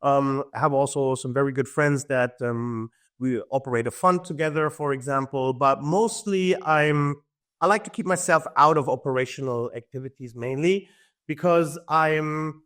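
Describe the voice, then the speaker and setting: A man's voice: medium pitch, normal speed, a bit bright, clear, resonant tone. A man, a podcast guest talking in an easygoing way with frequent chuckles and fillers. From Germany. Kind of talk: casual interview talk.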